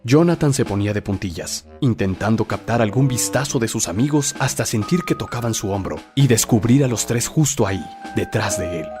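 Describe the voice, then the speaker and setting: A man's voice: lowish voice, expressive, a little fast, few pauses, music in the background. A man narrating a scripted horror story in a theatrical, suspenseful storytelling manner.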